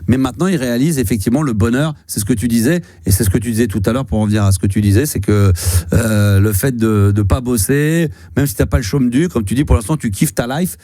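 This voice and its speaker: A man's voice: mid-range voice, fast talker, mellow, dry recording. A man in his fifties hosting a radio call-in show, chatting casually and rambling with many fillers and restarts. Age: 50s. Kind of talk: casual radio chat with a caller. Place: radio studio.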